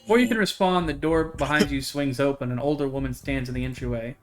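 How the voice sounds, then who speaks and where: A man's voice: medium pitch, quick pace. A man live streaming a visual novel, reading it aloud with his own commentary and blurted swearing. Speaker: game streamer. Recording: game music behind the voice.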